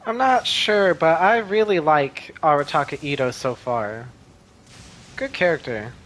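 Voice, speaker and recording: A man's voice: on the high side, expressive, measured pace, fairly bright tone, slight background noise, poor audio. A man chatting casually and in a laid-back way as he plays and comments on a video game. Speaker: gamer commenting on gameplay. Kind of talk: casual gameplay chat.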